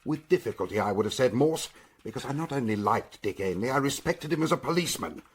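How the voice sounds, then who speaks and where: Stern senior police chief, played by a man, animated intonation. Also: mid-range voice, fast, lightly acted. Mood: stern, reproachful. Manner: firm, formal, reproving. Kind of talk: radio drama acting.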